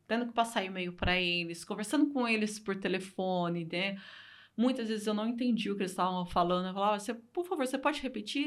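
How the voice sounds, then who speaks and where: A Brazilian woman speaking Portuguese with a Brazilian accent, a guest telling her story in a casual interview, earnest and repeating phrases for emphasis. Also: mid-range voice.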